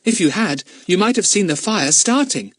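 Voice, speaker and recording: A man's voice: sits high, expressive, moderate pace, dry recording, pitched above the performer's own voice. A man voicing a keen, sensible schoolboy detective, eager and forthright, in a children's audiobook. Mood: questioning. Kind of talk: acted children's audiobook dialogue.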